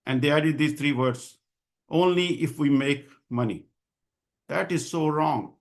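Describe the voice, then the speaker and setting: A man's voice: fairly high pitch, measured pace. A man speaking as a physician and association leader, delivering a formal advocacy speech in an earnest, insistent, emphatic way. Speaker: physician and association president giving a public address.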